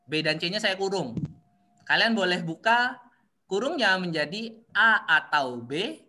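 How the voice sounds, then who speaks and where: A man's voice: high-pitched, sing-song, sharp tone. A man teaching an online university class, explaining step by step with many fillers and frequent checks that students follow. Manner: halting, frequent fillers and restarts, often checks understanding. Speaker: university lecturer. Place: online meeting. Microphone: video call.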